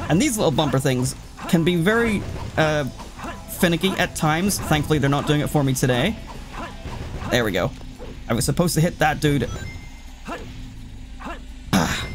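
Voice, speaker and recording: A man's voice: fairly high pitch, lively intonation, normal speed, long pauses. A man giving casual, sweary let's play commentary, rambling and often cutting himself off as he reacts to the game. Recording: loud game music behind. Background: video game music.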